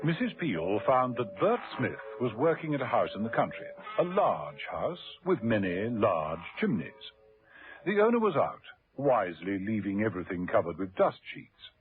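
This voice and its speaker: A man's voice: lowish voice, sing-song, normal speed, soft background music. Male narrator on tinny old radio. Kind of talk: scripted radio drama narration.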